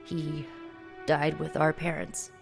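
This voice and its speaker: A young spellcasting heroine from a video game, speaks in a slightly low voice. She is wry and guarded, with halting asides, and her words ring with long reverb. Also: level tone, vocal fry, heavily acted. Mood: subdued, regretful. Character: young woman blood-magic spellcaster, wry, guarded ex-runaway hero. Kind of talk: video game character dialogue, conversational. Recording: long reverb, music underneath.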